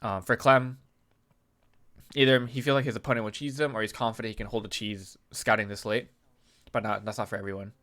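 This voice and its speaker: Bright-voiced man with a nasal cold. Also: on the low side, fairly even intonation, brisk. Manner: chatty, clears throat often, restarts. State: sick, slightly nasal. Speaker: YouTube host analyzing a game replay. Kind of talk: casual game analysis commentary.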